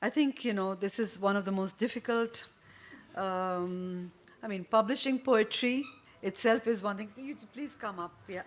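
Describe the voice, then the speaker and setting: A woman's voice: mid-range voice, moderate pace. A woman moderating a panel and handing over to speakers in a loose, casual way. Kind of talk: introducing speakers.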